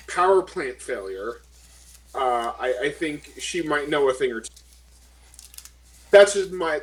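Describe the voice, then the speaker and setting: A man playing a tabletop game, chatting casually and thinking aloud in halting phrases. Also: a little high, poor audio.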